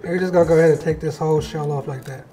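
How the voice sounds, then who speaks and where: Higher-pitched American man hosting casually. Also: steady tone, racing, a crowd in the background. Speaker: cooking show host and chef. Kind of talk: casual cooking demonstration and interview chat. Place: home-style kitchen, indoors. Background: music.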